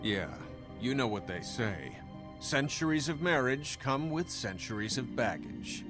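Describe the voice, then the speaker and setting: A suspicious, touchy husband in a cinematic game. His medium-pitched voice is very animated in intonation. He speaks confrontationally and with sarcasm, while loud music plays behind him. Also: a little slow. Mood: bitter, sarcastic. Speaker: voice actor in a narrative video game. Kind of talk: cinematic video game acting.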